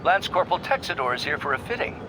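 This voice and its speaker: A man's voice: a little high, big pitch swings, fast, background noise. An actor voicing a stern male scientist mentor with a clipped, reproachful manner.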